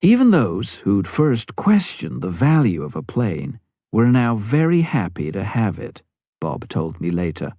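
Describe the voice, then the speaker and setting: A man narrates a memoir in a lowish voice. His scripted reading is measured and clearly phrased, yet the intonation rises and falls a great deal. The sound is dry, with little room in it. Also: wildly swooping pitch, mellow, dry recording. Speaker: audiobook reader.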